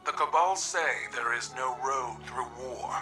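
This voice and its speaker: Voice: medium pitch, a little slow, sharp tone, recorded from a distance, soft background music. A performer playing a proud alien warrior empress, cold and haughty, with formal phrasing in a video game. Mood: grave, resolute. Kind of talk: video game character acting.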